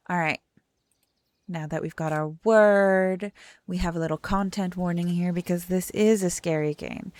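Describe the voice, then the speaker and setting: A woman's voice: medium pitch, no room sound. A young adult woman streaming a game, chatting in a rambling, self-correcting way and reading the text aloud. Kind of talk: casual let's-play chat and reading game text aloud.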